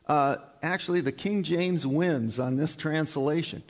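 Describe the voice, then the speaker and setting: A man's voice: a little high, measured pace. A man preaching a sermon in a conversational way, often putting questions to the congregation. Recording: reverberant church hall.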